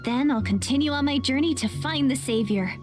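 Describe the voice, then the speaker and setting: A woman's voice: high-pitched, a little fast, darkish tone, faint music behind, close to an everyday voice. An actress playing an earnest, polite young woman traveler in a video game. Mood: grateful. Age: young adult.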